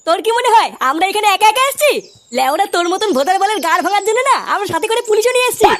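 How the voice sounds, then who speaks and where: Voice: extremely high pitch, animated, rapid-fire, a bit bright, slight background noise. A performer voicing a brash, cheeky, foul-mouthed cartoon character in a comic dub, exclamatory and swaggering. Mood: angry, threatening.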